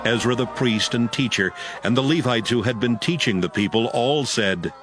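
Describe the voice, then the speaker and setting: A man's voice: medium pitch, normal speed, fairly bright tone, faint music behind. A man reading scripted audio Bible narration as its narrator.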